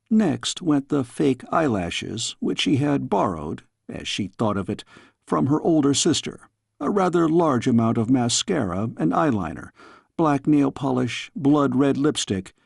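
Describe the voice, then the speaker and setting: A man reading a storybook as scripted audiobook narration, in a measured, expressive storytelling way. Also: medium pitch, gravelly, breathy edge, dry recording, studio quality. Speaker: audiobook reader.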